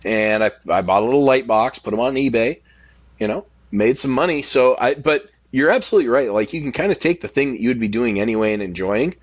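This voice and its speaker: A man's voice: mid-range voice, lively intonation, fast talker, no room sound, highs cut off. A man talking casually on a podcast, sharing personal anecdotes in a loose, conversational way. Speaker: podcast speaker.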